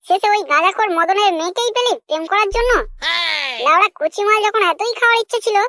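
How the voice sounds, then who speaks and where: A man voicing a cocky, foul-mouthed young womanizer in a comic cartoon, with a pitched-up voice and a brash, bossy manner. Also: fast, dry recording, studio quality. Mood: scolding. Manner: brash, bossy, swearing constantly. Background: loud jingly children's music.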